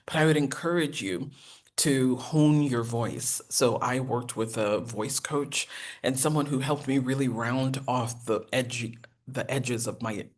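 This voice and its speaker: A woman, teaching a professional etiquette masterclass in an earnest, encouraging way with deliberate enunciation. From United States. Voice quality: deep voice. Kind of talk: masterclass lecture.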